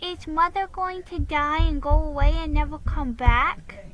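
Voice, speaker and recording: A woman's voice: high voice, a little slow, background noise, pitched above the performer's own voice. A woman voicing a very young, innocent, questioning little boy in a storybook. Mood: anxious.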